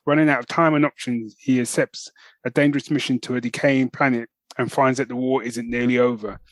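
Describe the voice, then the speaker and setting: Podcast co-host: British man, middle-aged, mid-pitched voice, informal and chatty, recorded dry. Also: no echo. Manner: informal, often says man and you know. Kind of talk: casual chat.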